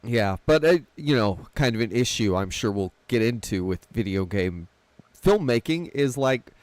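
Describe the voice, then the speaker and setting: A man's voice: mid-range voice, lively intonation, normal speed, no room sound. A man talking casually as a panelist in a film discussion, with a wry, dry-humoured manner. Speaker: podcast panelist.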